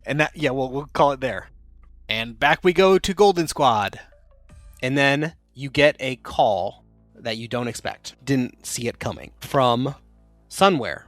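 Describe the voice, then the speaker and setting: Male game master, easygoing, mid-pitched, faint music underneath. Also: animated, a little slow, a bit bright, no echo. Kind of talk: running a tabletop game.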